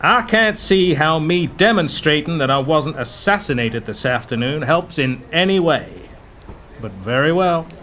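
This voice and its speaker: A man's voice: fairly high pitch, lively intonation, unhurried, sharp tone, a little hiss or hum. A man playing a brusque, impatient, dismissive President of the United States in an audio drama. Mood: grudging. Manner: dismissive, commanding. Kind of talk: fan-made audio drama acting.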